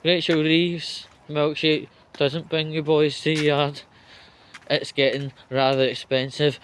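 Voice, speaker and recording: A man giving an off-the-cuff commentary rant, sweary and sardonic, speaking in short halting phrases. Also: fairly high pitch, flat delivery, slight background noise. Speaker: vlogger giving political commentary.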